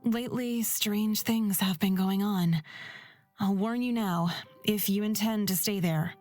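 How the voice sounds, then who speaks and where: A calm young woman who drives a wagon in a fantasy game, voiced by a woman reader. She speaks in a measured, thoughtful way, with soft music underneath. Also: medium pitch, lightly acted.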